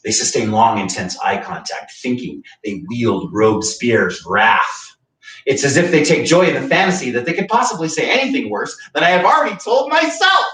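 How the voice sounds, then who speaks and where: A man's voice: mid-range voice, big pitch swings, normal speed, sharp tone. A man playing a bitter, drunken man tormented by grief and guilt in a staged reading, with a mocking, theatrical, needling manner. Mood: bitter, scornful. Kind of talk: dramatic monologue in a staged play reading. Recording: roomy, echoey, distant mic.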